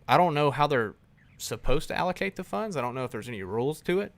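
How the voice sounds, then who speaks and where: A man's voice: fairly high pitch, animated, fast talker, vocal fry, no room sound. A man co-hosting a live podcast in casual chat, talking in a laid-back, rambling way. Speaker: podcast co-host.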